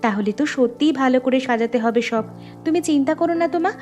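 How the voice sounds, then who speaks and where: High-voiced eager young daughter played by a woman. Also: fast talker, darkish tone, soft background music, lightly acted. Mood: earnest. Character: eager, caring young daughter who loves painting. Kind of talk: cartoon story voice acting.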